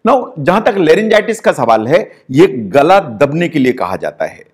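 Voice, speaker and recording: A man's voice: a little high, very animated, normal speed. A middle-aged Indian man, a doctor, giving a health explainer lecture and mixing English terms into Hindi as he goes through causes step by step.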